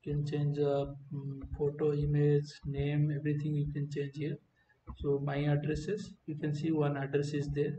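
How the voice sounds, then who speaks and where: A man giving an unscripted screen-recording walkthrough as a software demonstrator, speaking in a halting, hesitant way. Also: fairly high pitch, completely flat delivery. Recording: muffled, roomy, faint background noise.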